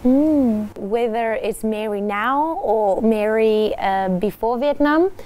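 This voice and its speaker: High-voiced woman host. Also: slow, darkish tone, faint music behind. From South Africa. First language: Afrikaans. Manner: hesitant, filler-heavy questions. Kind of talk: casual interview.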